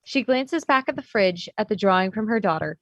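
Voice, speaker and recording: A woman's voice: medium pitch, lively intonation, fairly quick, dry recording. A woman narrating the stage directions of a script at a table read. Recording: dull, slightly lo-fi audio.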